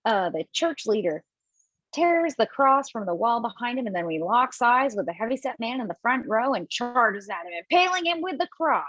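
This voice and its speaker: A woman's voice: on the high side, big pitch swings, moderate pace, fairly bright tone, no room sound. Woman narrating a script, dry dull audio. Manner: stumbles over words while reading. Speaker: stage directions reader. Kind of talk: scripted narration at a table read. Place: remote online table read.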